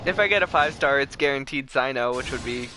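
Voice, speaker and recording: A man chatting casually and excitably to viewers as the host of a gaming livestream. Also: medium pitch, brisk, background noise.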